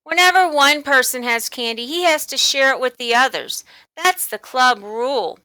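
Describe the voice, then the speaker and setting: A woman's voice: sits high, normal speed, bright, forceful tone, no echo, pitched above the performer's own voice. A woman voicing a mean, sly schoolboy bully who sneers and bosses others around in a storybook. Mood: demanding. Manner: sneering, bossy.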